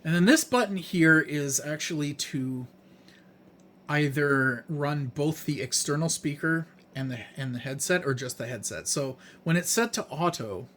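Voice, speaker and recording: Guy with a kinda higher voice doing an unscripted gadget walkthrough, plain casual explaining, noticeable hiss in the background. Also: lively intonation, unhurried, a bit bright. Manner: explanatory, informal, plain-spoken. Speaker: presenter of a gadget demonstration. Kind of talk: casual unscripted hands-on tutorial.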